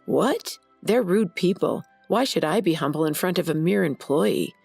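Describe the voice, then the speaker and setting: A woman's voice: on the low side, lively intonation, normal speed, vocal fry, a little mellow, husky, no room sound, faint music behind, full character voice. A woman voicing a haughty, entitled brother. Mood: arrogant. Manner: haughty, demanding. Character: arrogant entitled brother, man, boastful.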